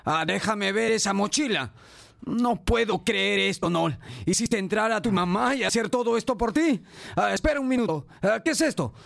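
An actor playing a smug, mocking Navy petty officer in a radio drama, muttering and sneering in a drawn-out way. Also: male voice, high-pitched, expressive, gravelly, a bit bright, dry recording, studio quality, exaggerated voice.

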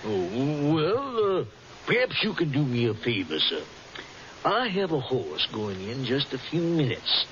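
An actor playing a genteel older colonel and swindler in a radio drama, hemming and clearing his throat in a courtly, confiding way. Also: mid-range voice, wildly swooping pitch, measured pace, a bit bright. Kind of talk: old-time radio drama acting. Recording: dull, hissy old radio recording.

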